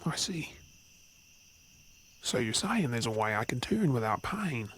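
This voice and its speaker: A man's voice: medium pitch, big pitch swings, a little slow, fairly bright tone. A man playing an earnest, brooding werewolf, weary of hiding, in a supernatural ASMR audio drama. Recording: faint noise with chirping night insects.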